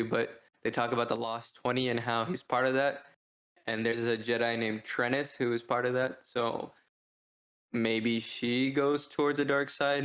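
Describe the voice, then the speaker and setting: A man in a casual co-hosted discussion, speaking in an even, fairly flat tone. He thinks aloud, hesitating and restarting as he works through his points like a reviewer among friends. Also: mid-range voice. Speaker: podcast co-host.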